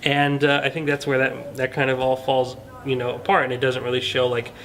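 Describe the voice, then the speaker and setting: A man with a medium-pitched voice speaks as the host of a casual film discussion. His manner is earnest and conversational, and he often qualifies his points as he works through an opinion. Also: monotone, brisk, fairly bright tone, a little hiss or hum, poor audio. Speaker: film review show host.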